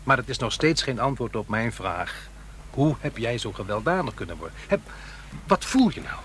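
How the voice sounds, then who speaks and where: An actor playing a troubled, reproachful divorced father in middle age, halting and earnest, in a Dutch radio play. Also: medium pitch, big pitch swings, fairly bright tone, slight background noise. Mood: urgent, probing. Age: middle-aged. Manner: halting, earnest, self-questioning.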